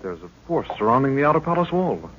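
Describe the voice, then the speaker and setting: An eager young hero of a vintage radio adventure, alert and respectful. His voice is very animated and of middling pitch, heard through a dull, faintly noisy recording. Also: fast. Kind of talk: 1940s radio serial acting.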